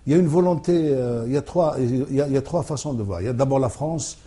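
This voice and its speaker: A man being interviewed about his books, speaking in a halting way with many restarts and fillers as he thinks aloud. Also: mid-range voice, mellow, slightly rough. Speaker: author, interview guest. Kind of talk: hesitant conversational interview.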